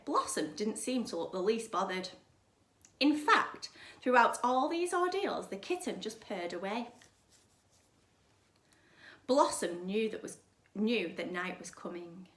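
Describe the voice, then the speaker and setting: A woman's voice: medium pitch, big pitch swings, a little slow, sharp tone. A woman reading a children's story aloud like a primary teacher, with dramatic, emphatic delivery. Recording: echoey room.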